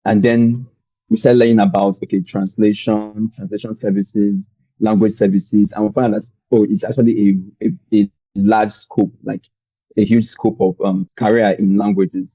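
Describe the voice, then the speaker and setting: Young nigerian guy, lowish voice, relaxed rambly chat, dull dry recording. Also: normal speed, husky, no echo. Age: young adult. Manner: relaxed, rambling, many fillers. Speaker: guest. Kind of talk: conversational storytelling.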